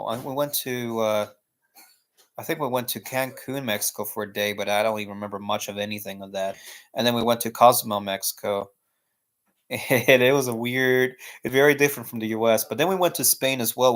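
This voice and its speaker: A young American man chatting casually on a live stream, answering viewer questions in a rambling, laid-back way full of fillers. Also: medium pitch. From United States. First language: English.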